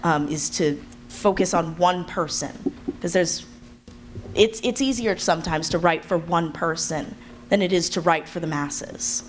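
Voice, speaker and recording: A woman's voice: on the low side, a little slow, faint music behind. A woman speaking earnestly and thoughtfully as a writer on a live panel. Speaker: panelist, audio drama writer. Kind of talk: earnest conversational panel talk. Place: convention panel room with background noise. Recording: noisy live convention panel room.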